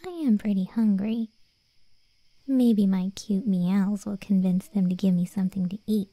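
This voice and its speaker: A woman voicing a cocky, cheeky undead cat girl in a soft, breathy, slangy ASMR roleplay. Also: mid-range voice, a little slow, no room sound, poor audio. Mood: sly musing. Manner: soft and breathy, often near whispering, slangy, teasing.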